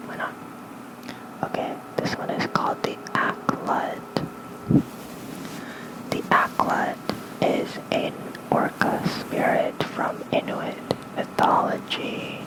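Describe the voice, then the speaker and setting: ASMR host, soft breathy whisper, slow halting delivery, close mic, bedtime lore reading. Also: poor audio. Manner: unhurried, halting, with informal muttered asides. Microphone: close fluffy-covered ASMR microphone.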